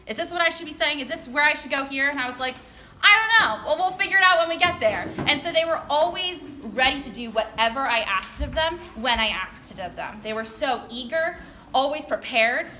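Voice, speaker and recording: A woman's voice: high voice, lively intonation, brisk, bright, forceful tone. A woman speaking as a drama teacher, giving an informal, gushing and colloquial award speech to parents. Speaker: drama club teacher presenting student awards. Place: indoor performance space before an audience. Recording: echoey room, distant noisy mic.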